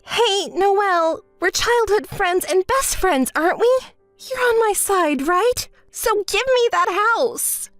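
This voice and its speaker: A woman performs a spoiled young woman in a dramatized story, her voice pitched high and swinging wildly between whiny pleading and shrill outbursts. She sounds entitled and self-pitying, and the recording is close and dry with faint music underneath. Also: expressive, slow pace, dry recording, exaggerated voice. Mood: wheedling.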